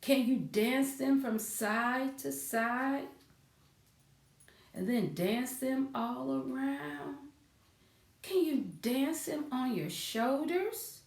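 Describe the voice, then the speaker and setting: A woman's voice: on the high side, dark tone. A woman presenting a children's storytime with rhymes and stories, in a sing-song, coaxing way that invites children to join in. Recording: roomy, echoing sound, distant from mic.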